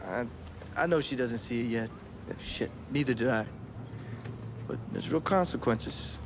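A man playing a calm, weary former street racer in a game, low-key, measured and cautionary. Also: noisy background, natural voice. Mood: calm, serious. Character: calm, weary male ex-racer working as mechanic.

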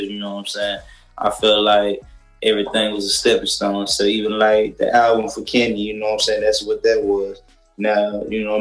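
Young guy on a couch video call, low voice, chill slangy talk, echoey room. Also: a bit bright. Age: 20s. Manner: laid-back, loose slang, many fillers. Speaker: rapper guest. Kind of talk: casual interview chat. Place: living room couch, indoors. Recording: roomy echo, faint music.

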